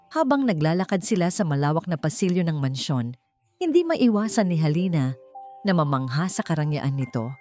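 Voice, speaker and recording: Low-pitched woman narrating over music. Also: darkish tone. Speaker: story narrator. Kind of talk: scripted dramatic story narration. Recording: music bed under the voice. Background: background music.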